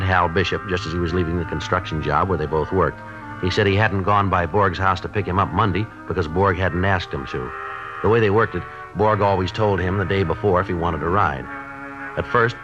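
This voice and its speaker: An American actor playing a terse, level-headed police sergeant in an old radio drama, clipped and deadpan. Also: male voice, low-pitched, natural voice. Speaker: detective narrator. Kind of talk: 1950s radio drama, scripted narration. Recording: dull old radio recording, music behind.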